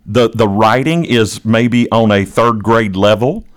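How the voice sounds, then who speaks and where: A man's voice: fairly low pitch, measured pace. A man hosting an impassioned political talk, speaking in a preachy, emphatic way and repeating phrases for effect. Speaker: podcast host and longtime educator.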